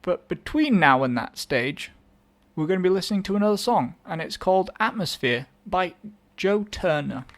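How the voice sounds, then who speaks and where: A young man with an English accent talks loosely to his listeners between tracks. His voice sits a little on the high side, and he keeps correcting himself mid-sentence with dry, self-mocking asides. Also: expressive, normal speed, a bit bright. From England. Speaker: podcast host. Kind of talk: casual solo chat introducing songs.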